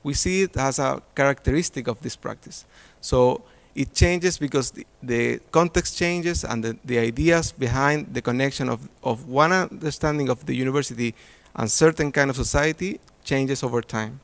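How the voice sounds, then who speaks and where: A man's voice: mid-range voice, normal speed, creaky, hardly any pauses. Man presenting an academic talk. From Chile. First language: Spanish. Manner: hesitant, many fillers and restarts. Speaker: conference presenter.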